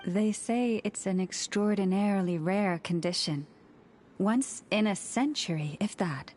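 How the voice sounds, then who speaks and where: Slow, solemn moon priestess played by an actress. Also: female voice, mid-range voice, a little mellow, slight background noise, close to an everyday voice. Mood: solemn, reflective. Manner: measured, poetic, formal. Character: serene antlered moon priestess, solemn and gentle young woman. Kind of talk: scripted video game dialogue.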